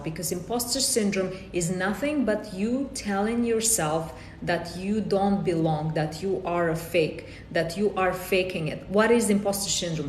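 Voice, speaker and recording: This is a woman with a Romanian accent hosting a live coaching session, pausing to welcome viewers by name and repeating phrases as she builds her point. Her voice sits at a middle pitch. The recording has a noticeable room echo and a faint low rumble beneath it. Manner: greets viewers by name and reads comments aloud; repeats herself and restarts phrases. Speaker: life coach. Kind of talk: live-streamed coaching workshop.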